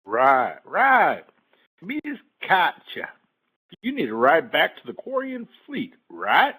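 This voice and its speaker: A man playing a glib, sleazy shuttle pilot in a game-based audio drama, smooth-talking like a salesman. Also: on the high side, huge pitch swings, takes it slowly, pushed and bright, heavily acted, low-quality recording. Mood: slick, sly. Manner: smooth-talking, falsely reassuring salesman patter. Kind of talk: fan audio drama, game-story character acting.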